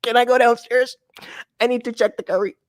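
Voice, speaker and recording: A man's voice: extremely high pitch, fairly even intonation, brisk, voice pushed up. A man voicing a polite, hesitant mother in a children's storybook, placating her young son. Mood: uneasy.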